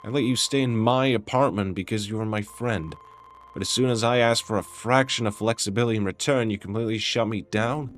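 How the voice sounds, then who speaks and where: A man's voice: lowish voice, a little fast, slight background noise, natural voice. A man playing a wounded, volatile young man in a drama, a possessive friend who pleads, accuses and guilt-trips with emphatic delivery. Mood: hurt, indignant. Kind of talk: dramatic dialogue acting.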